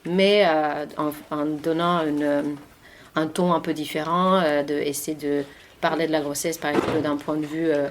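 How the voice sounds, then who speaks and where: A woman's voice: low-pitched, little pitch movement, normal speed, a little hiss or hum. A woman in her forties from Finland with a Finnish accent, speaking French as a second language, answering questions at a library talk with many fillers and restarts. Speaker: interviewed author and journalist. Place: library meeting room.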